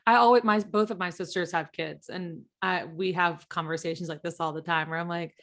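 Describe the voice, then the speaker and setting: A woman's voice: fairly low pitch, steady tone, fairly quick, fairly bright tone. Chatty woman hosting. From southern US. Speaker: podcast host, interviewer. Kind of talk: casual chat.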